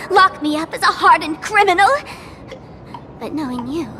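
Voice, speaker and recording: A woman's voice: extremely high pitch, expressive, moderate pace, sharp tone, slight background noise, exaggerated voice. A woman playing a mischievous, smug young female trickster in a video game, with a teasing, theatrical manner. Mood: playful, smug. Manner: teasing, theatrical, speaks of herself in third person. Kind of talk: video game character acting.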